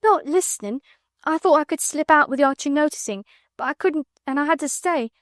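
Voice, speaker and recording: A woman's voice: sits high, lively intonation, fairly quick, no room sound, studio quality, voice pushed up. A woman voicing a timid young scullery maid, pleading and sniffling. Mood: frightened pleading.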